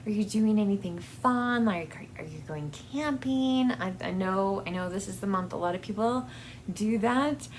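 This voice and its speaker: A woman chatting casually as a book vlog host, in a halting, hesitant way that often trails off mid-thought. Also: medium pitch, slight background noise.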